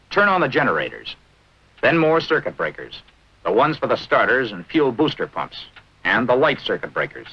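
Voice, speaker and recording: An American man narrating a 1940s military training film in a brisk, instructive manner with clipped short phrases. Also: mid-range voice. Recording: dull, band-limited vintage film soundtrack.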